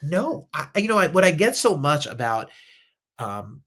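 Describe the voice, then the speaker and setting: Higher-voiced American male interview host. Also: big pitch swings, measured pace, fairly bright tone, breathy edge. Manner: effusive, encouraging, rephrases questions. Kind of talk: casual conversational interview.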